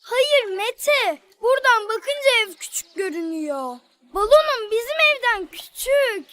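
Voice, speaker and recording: A woman's voice: very high voice, very animated, unhurried, fairly bright tone, full character voice. A woman voicing a cheerful, playful little girl in a children's cartoon, speaking with sweet, earnest simplicity.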